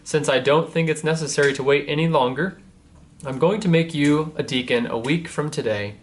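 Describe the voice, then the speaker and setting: Old Pope for a storybook male reader: fatherly, kindly and sure, lightly pitched voice, slightly noisy sound. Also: normal speed, close to an everyday voice. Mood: kindly, assured.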